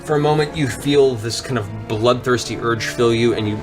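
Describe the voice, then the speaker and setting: A man's voice: medium pitch, normal speed. A man narrating a tabletop fantasy game as game master, in a dramatic, descriptive way. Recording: music bed under the voice. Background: background music.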